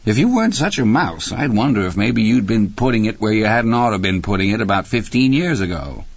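In a roomy, slightly dull recording, a male narrator plays a coarse aging wife from an audiobook. The voice is pushed well up in pitch, with a husky edge. The delivery is impatient, scornful and bullying. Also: very animated, brisk, full character voice. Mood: scornful, sneering. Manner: impatient, contemptuous barking.

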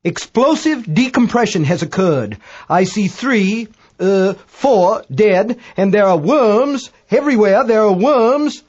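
A pompous English amateur astronomer, pitched high and slightly muffled. He is prissy and rattled, forever correcting himself with formal understatement in an audiobook tale. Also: lively intonation, takes it slowly, a little mellow, slightly rough, exaggerated voice. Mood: alarmed reporting. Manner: pedantic, self-correcting, stiffly formal.

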